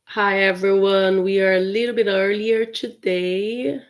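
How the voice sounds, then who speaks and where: A woman's voice: medium pitch, little pitch movement, a little slow. A woman from Brazil whose first language is Portuguese, teaching English in a chatty live stream with many fillers and restarts. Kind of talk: casual livestream lesson.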